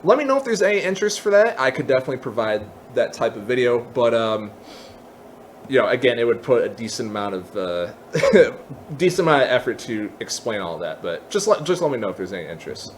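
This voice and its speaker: A man hosting a software tutorial in a casual, informal explaining style, with hesitations and trailing asides. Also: mid-range voice, big pitch swings, brisk, a little hiss or hum. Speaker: tutorial host.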